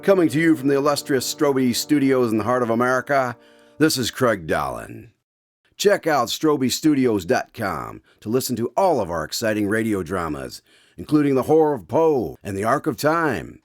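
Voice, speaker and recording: A man's voice: mid-range voice, sing-song, normal speed, dry recording, clean studio sound, faint music behind. A man playing an eager, inquisitive host in a radio drama, speaking formally as announcer and listener. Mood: announcing. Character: eager male host visiting a doctor to hear his stories.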